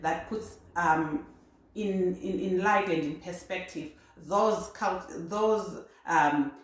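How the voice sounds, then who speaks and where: A woman speaks as a talk show guest in a low voice. She is measured and pauses with frequent ums. Her voice is heard in a strongly echoing room. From Zimbabwe. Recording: very echoey room.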